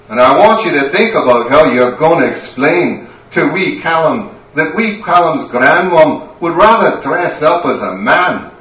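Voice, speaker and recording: A man's voice: medium pitch, fairly bright tone, echoey room, far from the mic, dull audio. A man voicing a bossy, scolding Scottish grandmother who is a wine god, with a Scottish accent.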